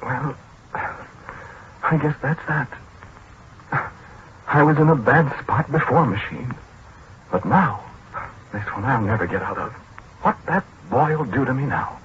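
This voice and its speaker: An American actor playing a gentle, disgraced scientist in a 1940s radio drama, hesitant and apologetic, with self-pity in his delivery. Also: medium pitch, expressive, natural voice. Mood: wistful, self-pitying. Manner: hesitant, halting, self-pitying. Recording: muffled, noisy 1940s radio recording.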